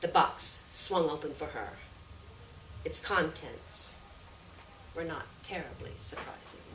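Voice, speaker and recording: A woman with a fairly low voice reads literary fiction aloud with deliberate, measured phrasing. She is heard at some distance from the microphone in an echoey room. There is faint noise behind her. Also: lively intonation. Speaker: reader of a short story. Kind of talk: literary story reading, scripted narration. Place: roomy venue at a live reading.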